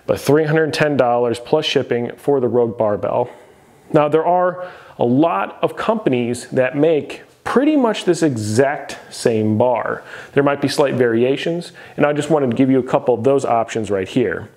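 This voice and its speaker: A man's voice: mid-range voice, expressive, moderate pace, a little mellow. An American man in his thirties hosting a casual product review, explaining things conversationally to camera. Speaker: host reviewing gym equipment. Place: garage gym, indoors.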